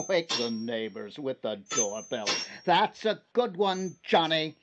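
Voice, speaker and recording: A man's voice: on the high side, lively intonation, takes it slowly, noisy background. An actor playing Herb Carter, a sly, menacing man back from the dead who taunts with giggles, in 1950s-style radio drama. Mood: gloating.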